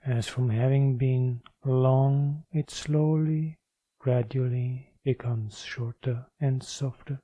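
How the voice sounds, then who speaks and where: A man leading a guided meditation as a teacher, with measured, deliberate phrasing and pauses. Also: medium pitch, steady tone, warm tone, dry recording.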